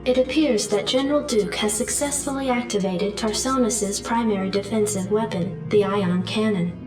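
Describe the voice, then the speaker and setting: An echoing, impassive ship computer. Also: female voice, medium pitch, normal speed. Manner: clipped, formal, emotionless. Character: impassive female military ship computer adjutant. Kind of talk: sci-fi game briefing announcement. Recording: heavily echoed, distant, over music.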